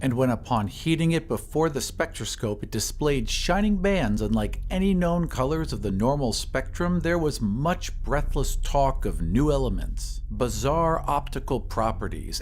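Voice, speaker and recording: A man reading a horror story as scripted dramatic narration, in a measured, literary storytelling manner. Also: mid-range voice, a little hiss or hum. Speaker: narrator of an audio drama podcast. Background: ambient sound effects.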